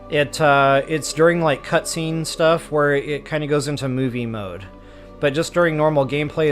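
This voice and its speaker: A man hosting a game stream, chatting casually to viewers in an informal, self-deprecating, rambling way. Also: mid-range voice. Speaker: host of a video game walkthrough stream.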